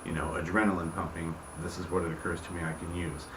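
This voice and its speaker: A man's voice: low-pitched, little pitch movement, fast talker. A man giving an informal explanation as host, often checking in with the listener with small tag questions. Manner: checks in often with "okay", "right", "you see what I'm saying". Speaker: host, game creator explaining rules. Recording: roomy echo, clear background noise.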